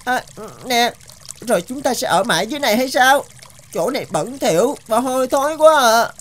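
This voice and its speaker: A woman's voice: fairly high pitch, expressive, normal speed, fairly bright tone. A woman voicing a naive, proud and anxious plastic bag in a children's cartoon, exclamatory and plaintive. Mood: frightened. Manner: exclamatory, plaintive, wide-eyed. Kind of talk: animated children's cartoon acting.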